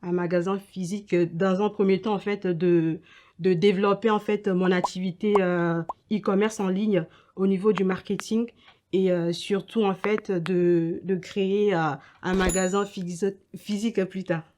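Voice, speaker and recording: A young woman from Ghana and Togo giving halting interview answers as an entrepreneur, often repeating her words. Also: mid-range voice, steady tone, background noise. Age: young adult. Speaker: entrepreneur and student being interviewed. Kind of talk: interview answers about own business.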